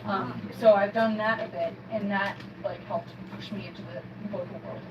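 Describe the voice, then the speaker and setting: A young adult woman, a guest musician, talking in an animated, self-effacing way during a live interview. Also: medium pitch, normal speed, very noisy. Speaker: guest musician, fiddler and singer. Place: live show studio. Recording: roomy, distant, dull sound with loud music.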